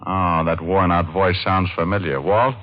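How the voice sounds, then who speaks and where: An actor playing a wry, wisecracking hard-boiled private detective in a 1940s radio noir, dry and deadpan. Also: male voice, sits low, normal speed, lightly acted. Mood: wry, curious. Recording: muffled old radio recording, music behind.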